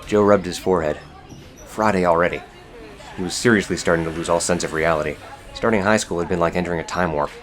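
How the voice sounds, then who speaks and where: Very low-pitched male audiobook narrator. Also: fast, a bit bright, some chatter behind. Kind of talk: scripted fiction narration.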